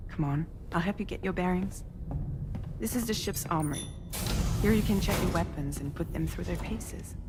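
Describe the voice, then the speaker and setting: A woman playing a composed female commander in a video game cutscene. Her voice is low, welcoming and resolute as she guides a newcomer, with a prominent score behind her. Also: natural voice. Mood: welcoming, assured. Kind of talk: cinematic video game acting.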